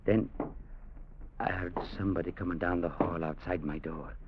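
An actor playing a proud, quietly menacing seafaring man in a 1940s radio drama, both narrating and acting. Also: low-pitched, fairly even intonation, normal speed, noisy background, natural voice. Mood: grave, brooding. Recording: muffled old radio recording with music.

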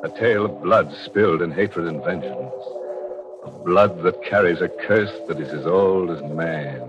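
A man with a very deep voice hosts a 1940s radio mystery. His narration is scripted and theatrical, with tongue-in-cheek macabre relish. It sounds like a dull vintage broadcast with prominent music. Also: a little slow.

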